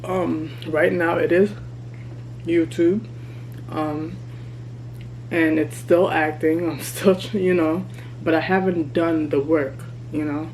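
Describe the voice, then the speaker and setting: A woman in her thirties talking candidly to her vlog camera while she eats, chatty and relaxed. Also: deep voice, slow pace, long pauses, a little hiss or hum, low-quality recording. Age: 30s. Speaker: vlogger. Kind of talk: casual mukbang vlog chat. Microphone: camera mic.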